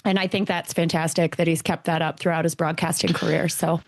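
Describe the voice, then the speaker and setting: Female podcast co-host, slightly low register, closely recorded with little room sound, earnest and keen in casual sports talk. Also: little pitch movement, fairly quick, dry recording.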